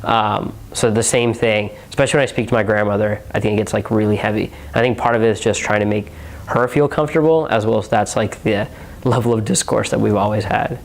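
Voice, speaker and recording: A young man from Houston, Texas, speaking in a low, fairly flat voice. He answers interview questions casually, with a steady stream of "um" and "like" fillers. He sounds like a relaxed university student thinking out loud. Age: young adult. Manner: relaxed, heavy on filler words like "um" and "like".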